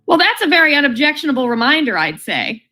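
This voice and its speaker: A woman's voice: sits high, sing-song, fast talker, over music. A woman playing a sensible, dryly witty housewife in an old-time radio comedy, polite and arch.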